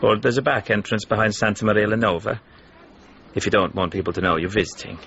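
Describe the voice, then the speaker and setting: Low male voice, gravelly, clipped emphatic phrases, secretive informant, radio drama, muffled with faint noise. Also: steady tone, quick pace. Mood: guarded. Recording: muffled, faintly noisy ambience.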